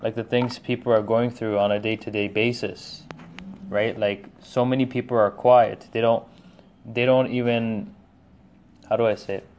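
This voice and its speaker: A man's voice: lowish voice, flat delivery, normal speed, darkish tone, a little hiss or hum. A man hosting a casual conversation, chatty and full of "like" and "right?".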